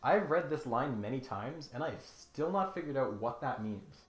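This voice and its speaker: A man's voice: mid-range voice, expressive, normal speed, echoey room, soft background music. A man hosting a scripted comedic critique, speaking in a mock-grandiose, sarcastic and emphatic way. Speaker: YouTube comedy host.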